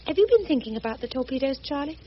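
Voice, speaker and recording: On a muffled radio drama recording with clear background noise, a genteel, devoted Englishwoman. Her voice sits fairly high and her English accent is proper. She speaks earnestly, gentle yet determined. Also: lively intonation, racing. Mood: resolute. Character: devoted, determined, genteel woman adventurer, gentle but stubborn. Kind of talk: BBC radio drama acting. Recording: dull, noisy old radio recording.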